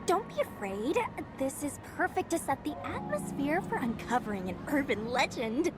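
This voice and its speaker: A woman playing an eager, confident young female mechanic in an anime game, with a bubbly, excitable, slightly flustered manner. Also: high-pitched, moderate pace. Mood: confident, playful. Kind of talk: video game character acting. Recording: music behind the voice.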